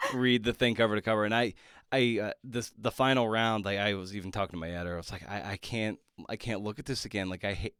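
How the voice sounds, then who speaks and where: A male podcast host with a fairly low, very clean and resonant voice in a dry, close recording. He talks casually and informally, breaking off and restarting his thoughts as he goes. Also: fairly even intonation, fast talker, a bit bright, clear, resonant tone, no room sound. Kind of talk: casual conversational interview.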